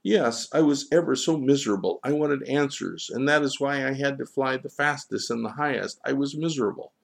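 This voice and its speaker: A man's voice: mid-range voice, a little fast, gravelly, pitched above the performer's own voice. A man voicing a proud, defensive gull in a storybook, boastful and pleading as he protests. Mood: desperate. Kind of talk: storybook character dialogue.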